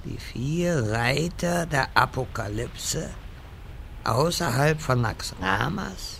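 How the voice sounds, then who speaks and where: A man plays a grandiose wizard leading heroes in a parody radio play. The voice is forceful and gravelly, with a slow, solemn, declamatory delivery and long-suffering undertone. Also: mid-range voice, lively intonation, a little hiss or hum, full character voice. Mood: mildly impatient.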